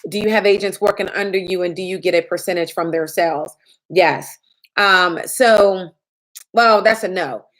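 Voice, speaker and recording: A woman insurance trainer answers viewer questions in a chatty live stream. Her voice sits a little low and has a slight rasp from lack of sleep. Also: moderate pace, a bit bright, poor audio.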